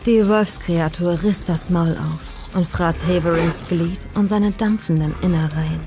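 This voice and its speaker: A woman reading a horror story as a scripted, dramatic narration, measured and suspenseful. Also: on the low side. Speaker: audiobook narrator. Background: faint atmospheric music.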